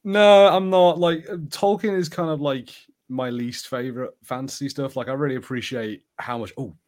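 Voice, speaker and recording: A man's voice: medium pitch, steady tone, normal speed. A man from the UK co-hosting a podcast, chatting casually and giving his opinions on fantasy film and TV. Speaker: podcast co-host.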